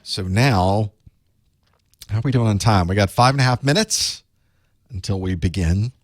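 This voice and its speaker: Low-voiced male instructor, a little raspy from a cold, loose and chatty with self-mocking asides. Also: moderate pace, no room sound, clean studio sound. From United States. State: chest cold, a bit raspy. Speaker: online course instructor hosting live study group. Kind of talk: casual live-stream chat.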